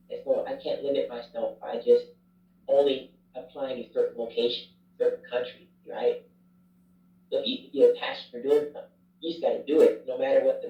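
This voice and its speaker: A man, a chaplain and former youth pastor, candidly and reflectively telling his story in a casual interview. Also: mid-range voice. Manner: candid, reflective, self-deprecating. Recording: roomy, distant, dull sound.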